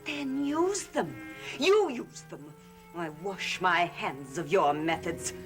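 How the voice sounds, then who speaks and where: Coven elder witch: bitter, scornful, highly animated theatrical delivery, gothic soap with music. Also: takes it slowly.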